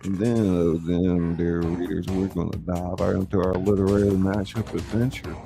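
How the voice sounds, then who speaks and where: A man's voice: low voice, level tone, moderate pace, creaky, music in the background. A man hosting a live show, announcing from a script with theatrical, emphatic showman delivery. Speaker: show host and narrator.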